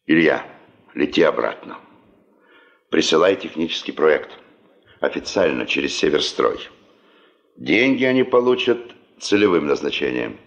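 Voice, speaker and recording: An actor playing an authoritative, sober veteran boss in a 1970s Soviet radio play, speaking in a terse, blunt, commanding way. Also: male voice, very deep voice, animated, unhurried, pauses often, lo-fi sound, exaggerated voice.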